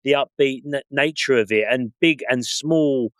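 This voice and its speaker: A man's voice: mid-range voice, normal speed, fairly bright tone, clear, resonant tone, no room sound. A man co-hosting a podcast, upbeat and friendly, chatting and reading out news items. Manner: friendly, upbeat, says mate often. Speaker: podcast co-host. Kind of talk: casual chat, reading news.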